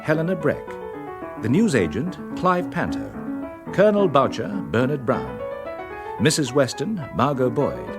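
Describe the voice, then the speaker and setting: A man's voice: mid-range voice, huge pitch swings, a little slow. A man with an English Received Pronunciation accent reading a scripted credits announcement for radio. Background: piano music.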